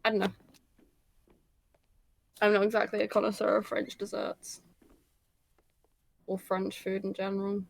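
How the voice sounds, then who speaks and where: A woman's voice: mid-range voice, completely flat delivery, a little slow, gravelly, pauses often, rough recording. A young woman with an English RP accent, streaming a game and chatting casually, explaining things at length with plenty of ums. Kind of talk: casual gaming chat.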